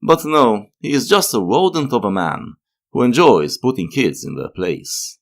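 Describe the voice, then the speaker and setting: A man delivering scripted analytical narration as a video essayist, in a measured, explanatory manner. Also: medium pitch, very animated, creaky.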